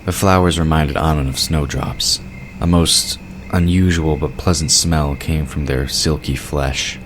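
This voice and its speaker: A man's voice: very deep voice, steady tone, unhurried. A man reading scripted third-person narration for a fantasy audio drama. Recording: clear background noise of night crickets.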